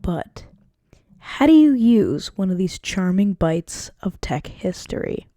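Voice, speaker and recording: Woman student reading a history script, mellow relaxed voice, dry recording, trips on the odd word. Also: medium pitch, slow, dry recording. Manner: reading from a script, with occasional stumbles. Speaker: student presenter. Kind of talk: scripted documentary narration.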